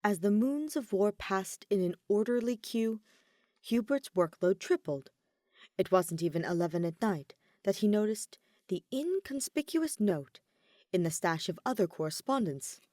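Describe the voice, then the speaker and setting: A woman's voice: mid-range voice, expressive, a little slow, warm tone, dry recording, clean studio sound. A woman reading scripted fiction aloud as a story narrator.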